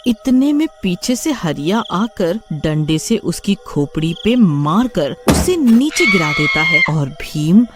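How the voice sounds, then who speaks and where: A woman narrating a scripted story in an expressive storytelling manner. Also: mid-range voice, slow pace, few pauses, over loud music.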